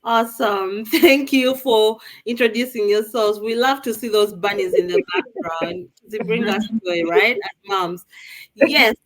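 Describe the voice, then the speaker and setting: A woman's voice: on the high side, animated, measured pace, rough recording. A woman from Uganda hosting a live online panel over a video call, upbeat and chatty, speaking straight to the viewers. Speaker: live show host.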